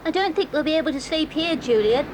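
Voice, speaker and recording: Woman playing an eager schoolboy, really high, lots of tape hiss. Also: lively intonation. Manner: keen, quick to exclaim. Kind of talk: 1980s audio drama acting. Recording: hissy old cassette tape.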